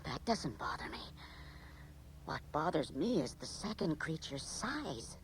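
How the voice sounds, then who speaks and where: A woman's voice: sits low, huge pitch swings, measured pace, exaggerated voice. An actress playing an anxious, questioning woman on an alien expedition, in a dramatic 1980s radio drama style. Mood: worried. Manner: dramatic, theatrical. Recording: noisy radio drama recording.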